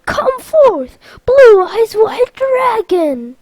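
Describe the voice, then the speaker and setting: A woman voicing a brash boy hero who declaims loudly and dramatically, drawing out the words in a comic retelling. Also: extremely high pitch, very animated, dry recording, voice pushed up, exaggerated voice. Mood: triumphant. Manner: loud, drawn-out dramatic declaiming. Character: boy hero, brash, bellowing summoning chants.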